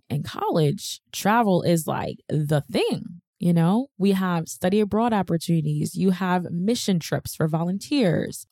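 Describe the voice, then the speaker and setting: A woman's voice: on the low side, dark tone, no room sound. A young woman hosting a podcast, telling her own story in a candid, chatty, self-deprecating way on a close mic. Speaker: podcast host. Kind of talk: personal storytelling monologue.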